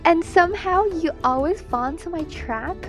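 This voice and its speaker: A woman's voice: extremely high pitch, animated, normal speed, soft background music. A woman with a mostly American accent, chatting casually and playfully on a livestream as she draws. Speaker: streamer, digital artist. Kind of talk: casual livestream chat while drawing.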